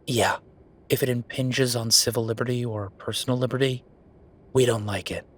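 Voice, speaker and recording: A man performing a roleplay audio drama as an exasperated werewolf official venting to his partner, weary, sardonic and conversational with emphatic pauses. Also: medium pitch, steady tone, a bit bright, lightly acted. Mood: frustrated, defiant.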